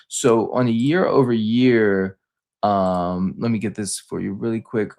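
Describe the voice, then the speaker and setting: A man giving an unscripted financial debrief on a livestream, explaining things in a halting, repetitive way. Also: on the low side, darkish tone. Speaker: stock commentator on a livestream.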